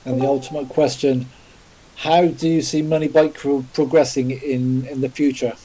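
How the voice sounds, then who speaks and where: A man's voice: medium pitch, level tone, normal speed, mellow, low-quality recording. A man hosting a live podcast over a voice chat, polite and formal, with many fillers. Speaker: podcast host, interviewer. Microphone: remote voice chat call.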